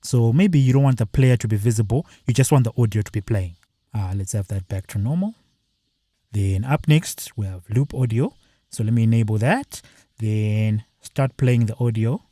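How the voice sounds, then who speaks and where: A man presenting a screen-recorded software tutorial, explaining each step plainly with the odd filler. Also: on the low side, moderate pace, dry recording.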